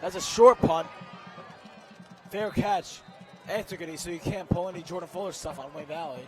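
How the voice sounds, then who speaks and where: A young man with a hoarse, strained voice doing excitable live play-by-play commentary outdoors at a football game. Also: high-pitched, moderate pace. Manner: excitable, shouts on big plays. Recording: loud outdoor stadium crowd noise.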